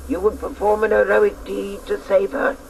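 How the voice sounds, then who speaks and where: Actress as a creaky, vengeful old woman. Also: medium pitch, flat delivery, a little mellow, slight background noise, lightly acted, rough recording. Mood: menacing, commanding. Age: older. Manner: taunting, imperious, drawn-out theatrical delivery. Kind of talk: radio drama acting.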